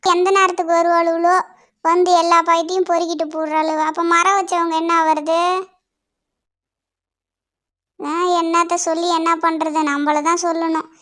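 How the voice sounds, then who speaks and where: A performer voicing a hard-up, grumbling village mother in an animated story, in colloquial speech. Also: very high voice, fairly quick. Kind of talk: cartoon dialogue in colloquial rural speech.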